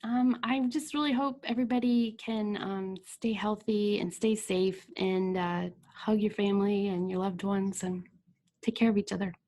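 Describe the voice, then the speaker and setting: A woman's voice: medium pitch, steady tone, moderate pace, dark tone. A middle-aged woman, an author, chatting in an interview; chatty, self-deprecating, laughing often. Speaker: author and podcast guest. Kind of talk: casual interview chat.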